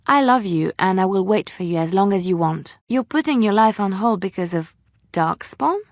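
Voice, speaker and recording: Casting: actress for young female game companion, devout and romantic, mid-range pitch, expressive and playful, dry studio sound. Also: normal speed, no room sound, natural voice. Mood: tender, resigned. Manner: heartfelt, coy, emotionally expressive. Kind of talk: video game dialogue acting.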